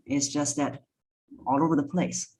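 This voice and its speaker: A woman's voice: deep voice, fairly even intonation, moderate pace, dark tone. Woman voice coach giving practical advice. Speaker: voice coach, interview guest. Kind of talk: conversational expert advice.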